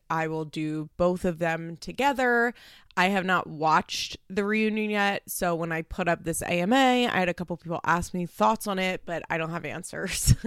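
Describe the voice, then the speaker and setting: A woman's voice: lowish voice, a bit bright, clean tone, no echo, clean studio sound. A woman hosting a podcast in casual chat, sounding stuffed up and congested, talking loosely with lots of "like" and the odd swear word. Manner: chatty, frequent "like" fillers, swears casually. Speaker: podcast host.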